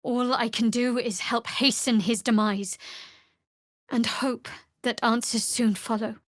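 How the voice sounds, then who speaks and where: A woman voicing a guarded, dry-witted young female cleric in a fantasy video game, measured and wry. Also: a little high, steady tone, dry recording, lightly acted. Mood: guarded, solemn.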